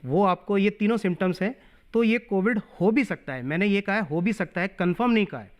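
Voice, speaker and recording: High-voiced man giving medical advice. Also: a little fast. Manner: explanatory, mixes in English terms. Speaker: doctor. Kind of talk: medical advice on a call-in show.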